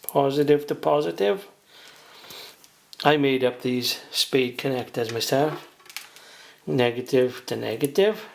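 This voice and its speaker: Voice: medium pitch, takes it slowly, creaky, long pauses. A hobbyist presenter giving a casual beginner's how-to, talking plainly and without hurry through each step while working.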